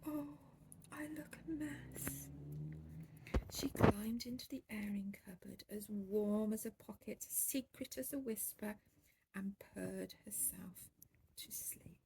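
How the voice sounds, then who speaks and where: A woman's voice: mid-range voice, a little slow, vocal fry, heavy background noise. A woman reading a children's bedtime story expressively, drawing out key words with theatrical emphasis.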